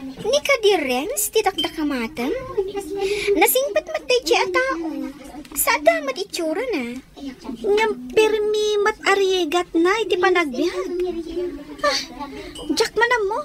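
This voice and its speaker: Snooty young girl, super high voice, swoopy delivery, ilocano accent, radio drama. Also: sing-song, a little slow, pauses often, over a noisy crowd, heavily acted. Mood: scornful chatting.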